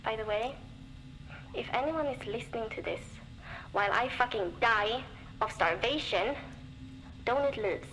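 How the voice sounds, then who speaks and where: A woman's voice: a little high, measured pace, pauses often, fairly bright tone. An actress playing a young, lonely, worn-down woman surviving alone in a frozen wasteland, speaking wearily and bluntly in a game's audio diary, with dry humour and frequent swearing. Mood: wry. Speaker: game character recording audio diaries. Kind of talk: scripted voice acting as a diary log. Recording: noisy cassette tape recording.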